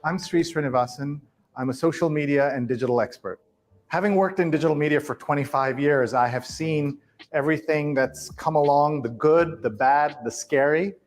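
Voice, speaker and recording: A man speaking in a scripted promotional video, polished and measured. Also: a little high.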